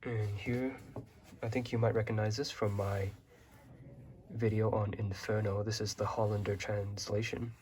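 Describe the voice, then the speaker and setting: A young man from Hong Kong, a native Chinese speaker, casually showing his bookshelf in a relaxed, rambling way with many fillers. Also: fairly low pitch, moderate pace, a little hiss or hum. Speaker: vlogger.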